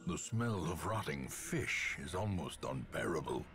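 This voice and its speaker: An actor voicing a grim, ominous older male game narrator who speaks slowly and solemnly. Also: expressive, heavily acted. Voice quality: deep, slow and solemn. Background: loud game music.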